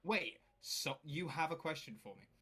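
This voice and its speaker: A man's voice: on the high side, lively intonation, moderate pace, pushed and bright, husky. A man joking around casually on a group voice-call stream, with a cocky, playful manner. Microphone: voice call microphone.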